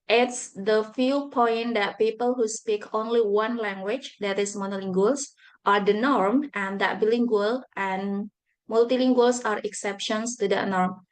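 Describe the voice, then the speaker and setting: A woman teacher speaks English with an Indonesian accent shaped by her first language, Bugis. She presents carefully and at a measured pace, pausing on fillers and correcting herself now and then. Her voice sits at an ordinary middle pitch. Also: steady tone. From Indonesia (South Sulawesi). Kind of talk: online speaking-practice presentation.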